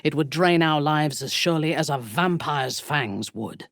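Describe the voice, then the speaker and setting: A woman playing a ruthless, haughty drow warrior zealot in a fantasy video game, speaking in an imperious, menacing and deliberate way. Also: sits low, expressive, a bit bright, no room sound, studio quality. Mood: haughty, measured.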